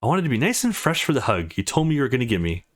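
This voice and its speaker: A man voicing a laid-back, soft-hearted young man with a casual, dry manner in a visual novel read-through. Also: medium pitch, big pitch swings, very fast. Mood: placating.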